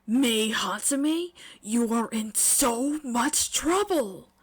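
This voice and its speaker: A woman's voice: fairly high pitch, animated, very slow, slightly rough, full character voice. A woman voicing an exasperated male schoolteacher in a story, scolding emphatically. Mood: angry. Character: exasperated male teacher scolding his troublemaking student.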